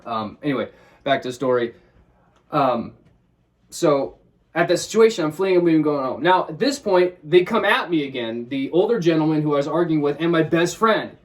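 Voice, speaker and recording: A man with a high-pitched voice from Washington State, telling a story casually and agitatedly, swearing often and acting out what he said. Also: expressive, normal speed, far from the mic. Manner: agitated, swears often, acts out his own quotes. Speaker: vlogger.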